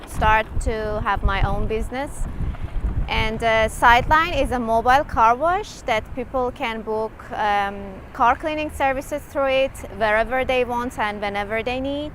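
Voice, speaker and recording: A young woman introducing her startup, soft-spoken and full of fillers. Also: a little high, a little slow, slight background noise. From Iran. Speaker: CEO of a startup. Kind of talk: casual self-introduction.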